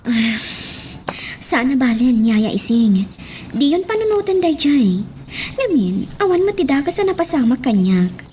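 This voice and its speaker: A young daughter in an Ilocano radio drama. Her voice is high and very animated, with an Ilocano accent and noticeable ambient noise behind it. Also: unhurried. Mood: reassuring. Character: young woman Monica, cherished daughter of a crime boss.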